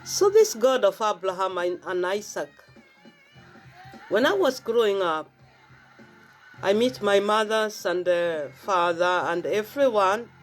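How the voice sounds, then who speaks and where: Kenyan woman chatting about her faith, repeats herself a lot, soft gospel song playing behind. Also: mid-range voice, animated, slow pace, long pauses, soft background music. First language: Swahili. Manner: repeats phrases, explains herself at length. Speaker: vlogger sharing faith. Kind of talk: casual devotional talk. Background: faint gospel song playing.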